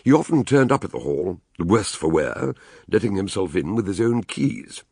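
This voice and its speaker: An actor playing an older British detective, deliberate and weighty, narrating his case notes in a radio drama. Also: lowish voice, big pitch swings, gravelly, a little mellow, husky, no echo, studio quality, full character voice. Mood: measured, grave.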